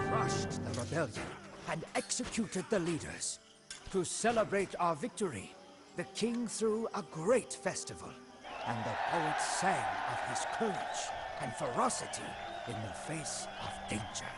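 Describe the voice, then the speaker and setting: An actor playing a proud, devoted commander of a royal guard, narrating a game's storybook in a solemn, deliberate manner. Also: male voice, a little high, big pitch swings. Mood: troubled. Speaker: narrator of a game campaign story. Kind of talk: scripted storybook narration in character. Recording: music behind the voice.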